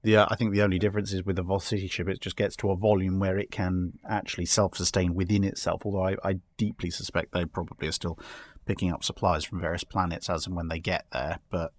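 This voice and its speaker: Guy with a lowish voice just talking it through, wry, kinda rambly, casual. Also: little pitch movement, fast talker. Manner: discursive, wry, builds comic hypothetical examples. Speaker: guest answering history and starship questions. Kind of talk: casual discussion.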